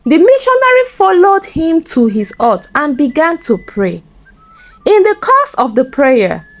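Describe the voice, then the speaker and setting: A woman narrating a scripted folktale in a dramatic, expressive storytelling manner. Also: high voice.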